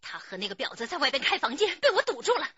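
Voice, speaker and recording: Radio drama wronged wife: extremely high, swooping, shrill and accusatory, slightly muffled dry sound. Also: female voice, huge pitch swings, fast, bright, forceful tone, no room sound, full character voice. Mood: bitter, scornful. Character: furious, wailing wife hunting her cheating husband. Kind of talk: dramatic radio play acting.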